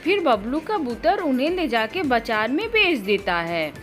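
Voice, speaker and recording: A woman tells a children's cartoon story in a high-pitched voice. Her delivery is scripted narration with lively, swinging intonation, over faint background music. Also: measured pace.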